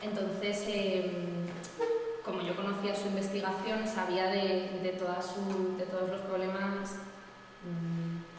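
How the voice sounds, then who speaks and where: Halting woman curator in an echoey hall. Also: medium pitch, level tone, darkish tone. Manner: halting, full of pauses and restarts. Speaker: seminar curator and moderator. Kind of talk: introducing a seminar session. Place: seminar room. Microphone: distant room microphone. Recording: echoey hall from far off, noisy.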